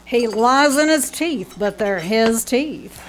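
A woman playing a zany, sharp-tongued, self-dramatizing Hollywood actress in old-time radio comedy, performed live on stage. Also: a little high, animated, slow pace, background noise. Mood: grandly insulting. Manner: melodramatic, mock-grand, insulting.

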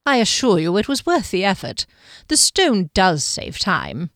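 A woman voicing a tall, mysterious male guardian in an audiobook, smooth, formal and courteous. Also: mid-range voice, sing-song, a little slow, fairly bright tone, no room sound, studio quality. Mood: courtly, protective. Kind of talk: audiobook character dialogue.

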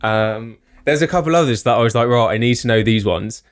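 A young man from the UK, co-hosting a podcast, rambling and poking fun at himself. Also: mid-range voice. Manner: rambling, self-mocking, quick laughs. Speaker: podcast co-host. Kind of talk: casual chat.

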